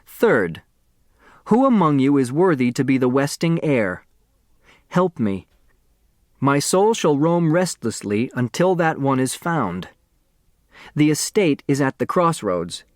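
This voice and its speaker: A man's voice: medium pitch, very animated, takes it slowly, long pauses, no room sound, clean studio sound. A man voicing a commanding, theatrical patriarch in a solemn, oratorical audiobook reading. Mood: grandiose, exhortatory. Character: dead millionaire's will, commanding, grandiose, theatrical old patriarch.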